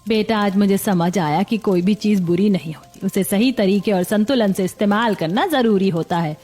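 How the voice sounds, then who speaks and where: A woman's voice: medium pitch, moderate pace, warm tone, lightly acted. A woman playing a proud, affectionate older mother-in-law in a cartoon family tale. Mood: reflective. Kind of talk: cartoon dialogue acting. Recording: background music under voice.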